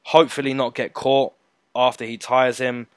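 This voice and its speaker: A man co-hosting a fight prediction show, giving emphatic, animated opinions in casual chat. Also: medium pitch, moderate pace, no room sound, studio quality. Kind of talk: casual betting breakdown chat.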